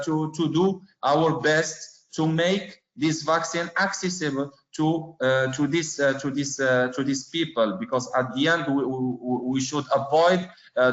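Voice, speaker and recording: Halting man from Morocco on a call. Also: fairly high pitch, a bit bright. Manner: halting, many fillers and restarts. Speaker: supply chain panelist. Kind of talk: panel discussion remarks. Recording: roomy, muffled online call audio.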